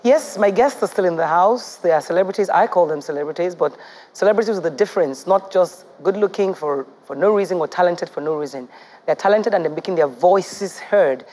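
A woman's voice: fairly low pitch, fairly quick, dark tone, faint music behind. A woman in her thirties with a Nigerian accent hosting a studio talk show, asking probing, persistent questions on a lapel mic.

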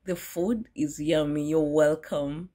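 A woman's voice: sits low, steady tone, slow. Young woman, cooking vlog voice-over. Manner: friendly, addresses viewers directly. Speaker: cooking vlogger. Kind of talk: voice-over narration of village cooking. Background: loud background music.

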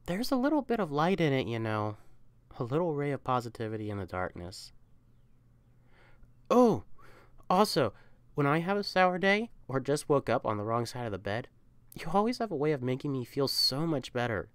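A woman plays a protective, loving young catperson in an ASMR-style roleplay. The voice sits low and moves expressively up and down, recorded close and dry. The delivery is tender and coaxing, with emphatic reassurance for a partner. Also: very animated, normal speed, smooth, no echo. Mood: tender, earnest.

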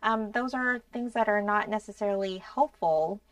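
A woman's voice: mid-range voice, normal speed, dry recording, far from the mic. A middle-aged American woman explaining things earnestly and a little hesitantly in a conversational podcast discussion. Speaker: podcast co-host explaining a grief program. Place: small indoor podcast studio. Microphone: podcast microphone on boom arm.

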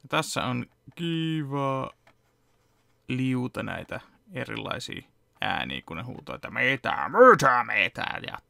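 Gravelly, dry-sounding man in his thirties. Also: mid-range voice, no echo. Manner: relaxed, rambling, chuckles often. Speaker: fan dub editor and vlogger. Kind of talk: casual behind-the-scenes walkthrough. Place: home room with computer setup.

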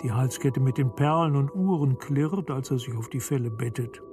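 A man reading literary narration for a radio play in a measured, deliberate storytelling manner. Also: medium pitch. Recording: studio narration over prominent music. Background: music.

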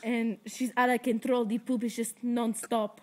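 A woman's voice: a little high, level tone, normal speed, darkish tone, a little hiss or hum. A teenage girl making a prank phone call from a living room, rambling in fake distress, recorded on a close laptop webcam mic. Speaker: prank caller.

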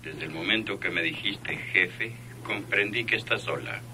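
Threatening crime boss, Mexican accent, very deep, sardonic, old radio drama with faint buzz. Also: male voice, measured pace, sharp tone, a little hiss or hum. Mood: smug. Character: menacing male crime boss ordering a killing.

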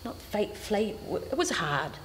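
A woman's voice: mid-range voice, big pitch swings. A woman, speaking as an artist on a panel, often repeating words as she gathers her thoughts. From New Zealand. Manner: halting, repeats words while thinking. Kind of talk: reflective panel talk. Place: indoor art gallery. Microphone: stand mic. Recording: reverberant gallery, faint hiss.

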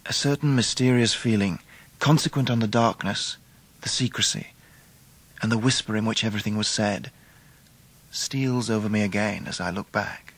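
An English actor playing a grown man recalling his schooldays, narrating a radio drama in a measured, literary, reflective way. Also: fairly low pitch. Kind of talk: scripted first-person narration in radio drama.

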